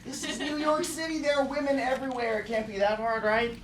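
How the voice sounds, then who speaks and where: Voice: mid-range voice, fairly even intonation, a little fast, natural voice. A performer playing a breezy doctor in his early seventies who thinks he is hip, slangy and blunt, in a comedy table read. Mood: gossipy, blunt. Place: theater stage, live show. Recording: echoey live stage, faint noise.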